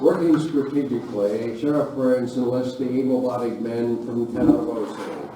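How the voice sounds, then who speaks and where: A man's voice: medium pitch, normal speed. A man narrating a live Western radio play in a scripted storytelling style. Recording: very echoey, distant live hall recording, noisy.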